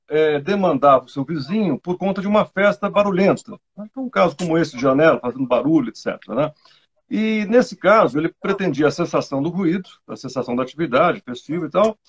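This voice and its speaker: A man's voice: on the high side, husky, muffled recording. A Brazilian man, a lawyer guest on a radio show, explaining legal points conversationally with anecdotes and tag questions.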